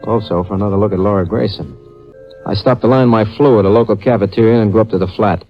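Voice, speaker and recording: A man's voice: fairly low pitch, fast. An actor playing a wry, slangy, easygoing store detective who narrates his own story in 1950s radio drama style. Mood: wry narrating. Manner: slangy, wry tough-guy delivery. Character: wisecracking hardboiled store detective, male, easygoing. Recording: muffled vintage radio recording with music.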